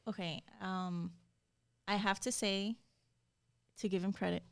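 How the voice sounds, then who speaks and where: A young woman in her twenties, from Venezuela, chatting as a podcast co-host. She tells her stories loosely and laughs easily. Her voice sits at a middling pitch. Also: fairly even intonation, measured pace, gravelly. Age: 20s. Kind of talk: casual chat.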